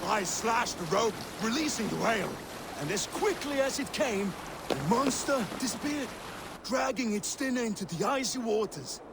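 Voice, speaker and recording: An actor playing a seasoned, boastful Viking warrior telling a theatrical tale in a game. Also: male voice, extremely high pitch, normal speed, vocal fry, heavily acted. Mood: dramatic yarn-spinning. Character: seasoned male Viking warrior spinning boastful sea yarns. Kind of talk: dramatic oral storytelling. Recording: steady water and boat noise behind. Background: boat on water.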